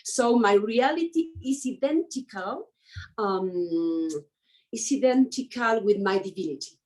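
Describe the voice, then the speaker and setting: Slow, hesitant woman in her fifties. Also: mid-range voice, lively intonation, darkish tone, rough recording. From Mexico. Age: 50s. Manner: hesitant, searching for words with many restarts. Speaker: guest. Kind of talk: conversational interview.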